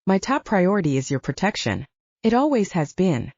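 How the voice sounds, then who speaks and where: A woman's voice: lowish voice, very animated, normal speed, a little mellow, no room sound, dull audio. A woman voicing a guarded, protective, wealthy alpha werewolf man in an audiobook. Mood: firm, defensive. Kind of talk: audiobook character dialogue.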